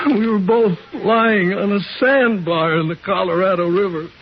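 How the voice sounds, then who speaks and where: Feverish, haunted thriller hero; slightly high voice, dramatic 1950s radio delivery, noisy recording. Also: male voice, highs cut off. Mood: haunted recounting. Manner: overwrought, dramatic. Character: wounded, feverish, delirious man haunted by a cavern woman.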